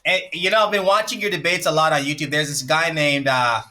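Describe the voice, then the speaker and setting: An excitable man from Jamaica talks on a live stream, his voice bright and fairly high. He tends to repeat himself. Faint music plays underneath. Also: brisk. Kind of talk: casual live-stream chat.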